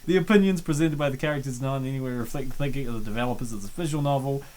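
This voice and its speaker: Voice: mid-range voice, racing, darkish tone. Chatty let's-play host reading game text. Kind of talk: casual game commentary.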